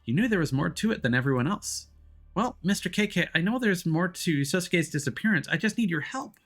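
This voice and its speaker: A man's voice: high-pitched, lively intonation, fairly quick, voice pushed up. A man voicing an earnest, pleading young boy in a video game story, with an urgent, insistent way of talking. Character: earnest, pleading young boy worried for his missing friend. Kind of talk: visual novel character voicing.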